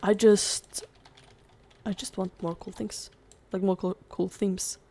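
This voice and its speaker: Young woman with vocal fry. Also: mid-range voice, steady tone, slow pace, mellow. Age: young adult. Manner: rambling, fills every gap with "like". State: voice giving out, strained. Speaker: gaming YouTuber. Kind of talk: casual gameplay chat.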